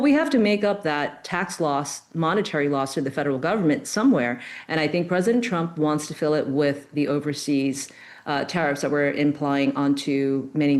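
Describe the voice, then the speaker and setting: A woman with a notably low voice gives upbeat news commentary. She talks in a chatty, enthusiastic way, with fillers and restarts scattered throughout. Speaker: political commentator.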